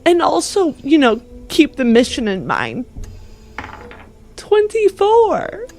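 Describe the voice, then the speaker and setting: A woman improvising in character and narrating as a player in a tabletop game, earnest and halting. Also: high voice, wildly swooping pitch, darkish tone, faint music behind.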